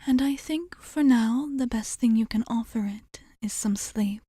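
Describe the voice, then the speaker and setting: An ageless female vampire bartender, played by a woman for an ASMR roleplay, speaks very slowly in a soft, whispery voice. Her tone is dark and mellow, and she addresses the listener with formal, teasing fondness. The sound is dry and intimate. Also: fairly high pitch, breathy edge, dry recording. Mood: tender, soothing. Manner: formal, unhurried wording with lingering pauses. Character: centuries-old female vampire bartender, courteous, fond, teasing.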